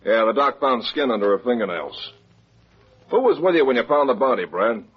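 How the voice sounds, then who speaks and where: A police lieutenant in an old radio crime drama, blunt and sure of himself. His husky voice swoops up and down through clipped phrases. The vintage recording sounds dull and muffled. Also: mid-range voice, expressive, a little fast. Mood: stern. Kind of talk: 1950s radio detective drama acting.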